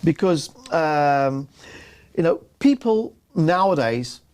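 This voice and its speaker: A man's voice: on the high side, expressive, slow pace, darkish tone, smooth. A man co-hosting a casual business chat, often restarting and repeating words as he talks. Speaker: co-host.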